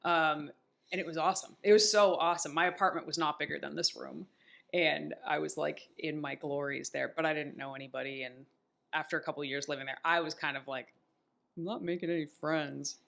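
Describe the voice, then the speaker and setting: Woman, 30s, podcast host, somewhat low pitch, chatty storytelling. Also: fairly even intonation, a little fast, creaky, a bit bright. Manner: chatty and upbeat, tells stories with quoted asides. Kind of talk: casual chat.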